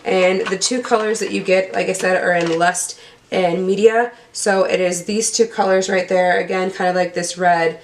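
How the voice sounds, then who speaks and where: A young woman with a hoarse, cold-roughened voice, pitched a little low. She rambles chattily as a beauty vlogger, trips over product names and talks straight to her viewers. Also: fairly even intonation, moderate pace, slight background noise. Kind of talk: casual unboxing chat.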